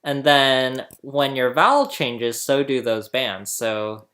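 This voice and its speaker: Guy talking slow in a casual how-to, mid pitch voice, keeps chuckling, laptop mic. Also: expressive, a bit bright. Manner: chatty, laughs often, restarts while explaining. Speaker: host explaining phonetics. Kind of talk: casual tutorial. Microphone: laptop webcam mic.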